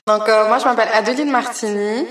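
A woman who works as an artist, telling stories in a lively way and laughing often during a casual interview. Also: fairly high pitch. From Belgium. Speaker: artist guest. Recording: echoey room, voice from a distance.

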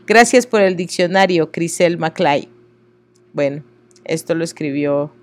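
A woman's voice: fairly low pitch, darkish tone. Earnest woman reading aloud. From Oaxaca, Mexico. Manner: earnest, halting over unfamiliar words, apologetic asides. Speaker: host reading texts aloud.

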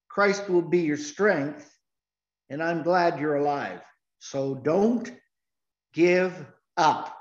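A man leading a church service as pastor, talking in a slow, earnest way with frequent restarts. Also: sits high. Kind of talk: sermon. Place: church sanctuary. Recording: roomy, dull church recording.

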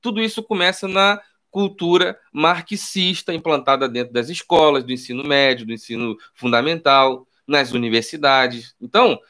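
A man's voice: medium pitch, normal speed, few pauses, bright, forceful tone. A man from Rio de Janeiro with a Carioca accent, giving casual political commentary on his channel beside his wife, emphatic and slangy, repeating key phrases. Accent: Carioca Brazilian Portuguese. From Rio de Janeiro, Brazil. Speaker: political YouTuber.

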